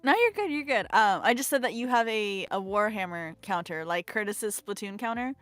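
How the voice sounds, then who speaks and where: A woman in relaxed, casual conversation on a live stream. Her manner is playful and giggly, with a middling pitch and a touch of mock-formal wording. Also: normal speed, no room sound, faint music behind. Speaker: guest on a live work stream. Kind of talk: casual chat.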